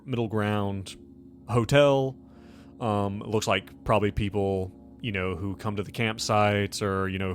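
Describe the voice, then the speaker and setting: Low-voiced man running a tabletop game. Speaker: game master. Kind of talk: narrating a tabletop game, improvised.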